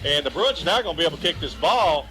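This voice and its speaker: A man's voice: on the high side, lively intonation, quick pace, bright, forceful tone, faint music behind, low-quality recording. An American man giving unscripted, informal color commentary at a football game, emphatic and prone to repeating himself. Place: stadium broadcast position at a high school game.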